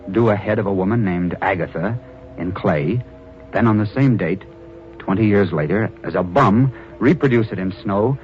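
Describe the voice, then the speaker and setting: An actor playing a newspaper reporter narrating an old radio drama, earnest and slightly halting. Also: male voice, on the low side. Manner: earnest storyteller, hesitant repeats. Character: newspaper reporter narrating his story, adult man. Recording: dull old radio recording with music.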